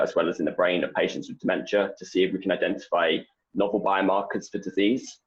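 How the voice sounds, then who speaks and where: Young English man, very low voice, easygoing and rambling, muffled lo-fi call audio. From England. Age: young adult. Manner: relaxed, rambling with many fillers and restarts. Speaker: postdoc researcher guest. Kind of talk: casual interview answers.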